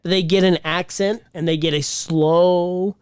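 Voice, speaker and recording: A man's voice: sits high, fairly even intonation, unhurried, smooth, no echo, studio quality. A man co-hosting a podcast in casual chat, talking bluntly and with emphasis, swearing casually. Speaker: podcast co-host.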